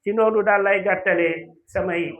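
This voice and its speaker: A man with a Senegalese accent giving a formal thank-you speech at a school awards ceremony, with halting, deliberate phrasing. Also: sits high, steady tone, fairly bright tone, highs cut off. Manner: halting, deliberate delivery with pauses. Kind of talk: formal ceremony speech mixing French and Wolof.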